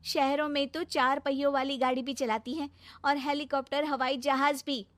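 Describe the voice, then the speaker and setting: A woman voicing a spirited young daughter-in-law in an animated village story, cheeky and quick to answer back. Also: high voice, no echo, studio quality, natural voice. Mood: defiant.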